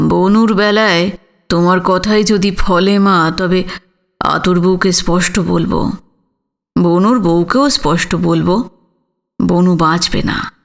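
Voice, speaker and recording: A woman voicing an elderly male village physician, grave, tender and sorrowful, speaking gently and solemnly with weighty pauses in an audio story. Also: lowish voice, creaky, pitched below the performer's own voice. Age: older.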